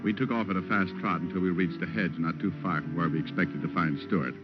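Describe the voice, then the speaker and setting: Man playing a low-voiced wisecracking American private eye. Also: steady tone, fast talker, close to an everyday voice. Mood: wry, cool. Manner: wry, slangy hard-boiled delivery. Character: wisecracking hard-boiled male private detective, cool and sardonic. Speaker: actor playing narrator and lead detective. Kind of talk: 1940s-50s radio detective drama acting. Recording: old muffled radio recording with music.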